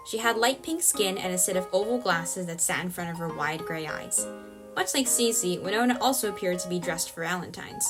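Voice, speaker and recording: A woman reading scripted narration for a story as its narrator. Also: mid-range voice. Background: music bed.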